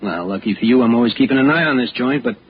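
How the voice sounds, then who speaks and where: A man's voice: mid-range voice, fast, close to an everyday voice. A man acting as a plain-spoken older American policeman in a 1960s radio drama, talking in a folksy way. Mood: chatty, explaining. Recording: dull, noisy 1960s radio recording.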